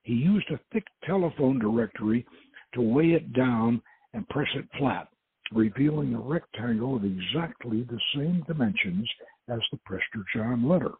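A man with a gravelly, husky voice hosting and reading his own novel aloud as dramatic narration. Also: medium pitch, lively intonation, normal speed, no echo. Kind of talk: dramatic audiobook-style narration. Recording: dull, lo-fi audio.

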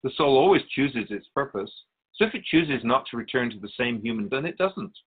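Man voicing a serene spirit guide with animated intonation. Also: mid-range voice, phone-quality sound, lightly acted. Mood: solemn, assured. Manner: measured, formal, instructive. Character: Keeper of Souls, serene wise otherworldly spirit guide. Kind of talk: radio drama dialogue.

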